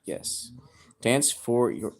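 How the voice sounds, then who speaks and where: A man in his early thirties livestreaming, reading chat aloud and joking crudely, with sudden loud outbursts. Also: medium pitch, expressive, extremely slow, creaky. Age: 30s.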